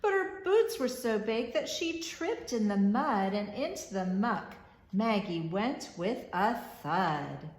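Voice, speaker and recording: A woman reading a rhyming children's storybook aloud as storyteller, expressive and measured in a rhythmic way. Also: medium pitch, reverberant.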